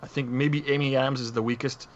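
A man's voice: mid-range voice, level tone, fast. A man co-hosting a podcast, casually discussing movies with others. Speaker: podcast co-host. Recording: muffled audio with clear background noise.

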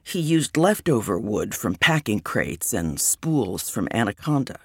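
A woman reading a first-person memoir aloud in an unhurried, reminiscing way. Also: a bass voice, sing-song, breathy edge, dry recording, studio quality.

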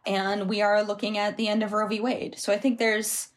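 A woman's voice: mid-range voice, level tone, moderate pace. A woman columnist appearing as a podcast guest, giving considered, articulate, analytical commentary in a conversational way.

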